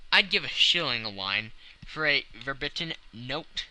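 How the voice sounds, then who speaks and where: A man voicing a jovial, brash newspaper editor who heckles loudly in an audiobook. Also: medium pitch, animated, measured pace, rough recording. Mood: eager. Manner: loud, exclamatory, teasing. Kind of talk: audiobook character voice.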